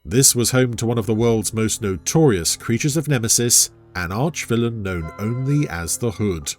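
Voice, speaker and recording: A man's voice: mid-range voice, lively intonation, moderate pace, faint music behind. A man narrating a scripted adventure story in a dramatic storytelling style.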